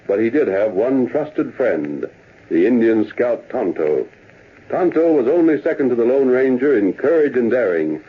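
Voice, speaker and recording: An American actor as the stirring, solemn narrator of an old radio western, speaking in a stately, declamatory way. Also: male voice, mid-range voice, slightly rough. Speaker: announcer and narrator. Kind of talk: dramatic scripted narration, 1930s-40s radio. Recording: tinny old radio recording with music.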